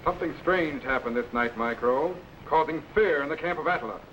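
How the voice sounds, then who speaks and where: An actor playing a confident, commanding time-travelling captain in a brisk, businesslike manner. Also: male voice, on the high side, fairly bright tone, close to an everyday voice. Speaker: lead character. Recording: noisy old radio recording.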